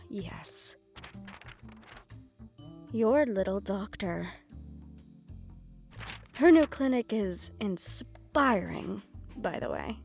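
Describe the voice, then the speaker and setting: A woman's voice: mid-range voice, a little mellow. Woman playing a menacing, silky mafia underboss woman. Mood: veiled menace. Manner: mock-polite, coolly threatening. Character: menacing, composed female mafia underboss, silkily condescending. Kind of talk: audio roleplay acting.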